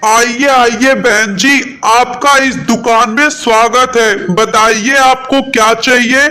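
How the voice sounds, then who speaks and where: A man's voice: very high voice, steady tone, slow pace, sharp tone, soft background music, full character voice. A man voicing a rich, stingy crow boss in a children's cartoon, with a haughty, theatrical manner. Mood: salesman-like. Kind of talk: Hindi children's cartoon dialogue.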